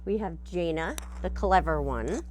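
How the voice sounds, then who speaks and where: A woman's voice: fairly low pitch, animated, a little slow. A woman teacher reading a storybook aloud and patiently explaining it in simple terms, often checking in with "right?".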